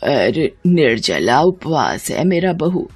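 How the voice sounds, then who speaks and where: Storybook mother-in-law, played by a female narrator: dramatic, emotional, over soft music. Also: sits low, very animated, slow pace, breathy edge, pitched below the performer's own voice, full character voice. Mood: weak. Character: haughty mother-in-law, scornful, later weak and remorseful.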